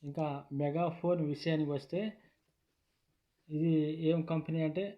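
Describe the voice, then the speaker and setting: A man with a slightly high, very even voice presents a product informally. He explains deliberately, phrase by phrase, often repeating himself. The recording is dull and lo-fi. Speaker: YouTube product reviewer. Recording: dull lo-fi sound.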